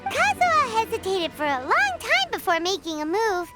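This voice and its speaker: A woman's voice: extremely high pitch, huge pitch swings, normal speed, loud music behind the voice, full character voice. A woman voicing a tiny, candid, childlike girl companion in a video game dub. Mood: candid, curious.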